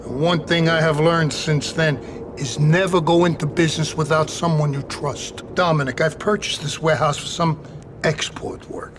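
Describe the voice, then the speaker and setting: An actor playing a proud, older Sicilian mafia don, speaking slowly and formally in a game cutscene. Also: male voice, a little high, fairly even intonation, noisy background, lightly acted. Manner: slow, formal, dignified.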